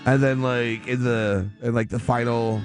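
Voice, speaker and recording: A man hosting a radio show with casual, off-the-cuff chat, rambling, halting and repeating himself. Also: mid-range voice, level tone, faint music behind.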